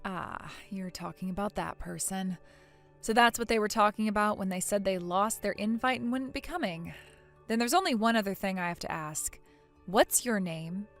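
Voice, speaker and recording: A woman playing a polite, wary young bride in a scripted story drama, with a measured, questioning manner. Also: mid-range voice, fairly bright tone, soft background music, natural voice. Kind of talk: scripted melodrama story dub.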